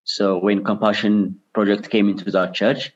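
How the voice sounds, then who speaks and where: A man in his thirties with an Ethiopian accent, answering personal interview questions haltingly, with frequent pauses. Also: fairly low pitch, level tone. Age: 30s. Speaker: interview guest, charity director. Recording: dull lo-fi remote call.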